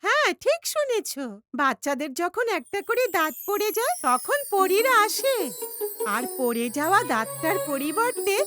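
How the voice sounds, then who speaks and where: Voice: very high-pitched, very animated, moderate pace, sharp tone, soft background music, exaggerated voice. A performer voicing a kindly, doting old grandmother with an affectionate, reassuring, sing-song delivery in a children's cartoon. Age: older.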